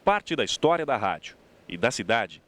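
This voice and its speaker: A man's voice: mid-range voice, big pitch swings, moderate pace, fairly bright tone. A man with a Brazilian accent reads scripted documentary narration. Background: background music.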